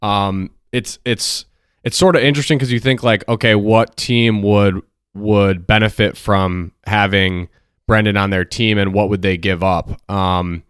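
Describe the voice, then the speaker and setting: A man co-hosting a casual sports podcast, talking in a hesitant way with many pauses. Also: fairly low pitch, fairly even intonation, a bit bright, clean tone, no echo, studio quality. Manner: hesitant, lots of ums. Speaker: podcast co-host.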